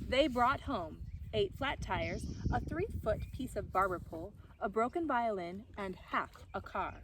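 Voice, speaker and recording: A woman's voice: medium pitch, animated, measured pace, background noise. A woman narrating a children's storybook as the reader.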